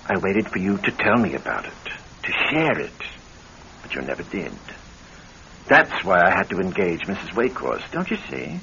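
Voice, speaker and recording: A suave, greedy husband with menace under the mockery. His delivery swings dramatically in pitch, with a gravelly edge. It is classic radio drama, heard through a dull, faintly hissy old recording. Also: sing-song, moderate pace, exaggerated voice. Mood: sly, pressing. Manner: suave, sardonic, mocking. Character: scheming greedy husband turning menacing and violent. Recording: muffled old radio broadcast with faint hiss.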